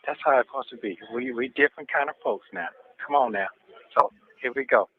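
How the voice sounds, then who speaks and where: A man's voice: mid-range voice, fairly quick. A man in a casual phone conversation, insistent and directive, heard over a noisy, tinny jail phone line.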